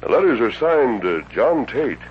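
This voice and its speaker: An actor playing a resolute masked lawman in a radio western, with husky, measured, commanding speech and formal diction. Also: medium pitch, sing-song, a little hiss or hum, natural voice. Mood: calm, resolute. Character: resolute masked lawman hero of the Old West. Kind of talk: 1950s radio western acting. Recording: dull old radio recording.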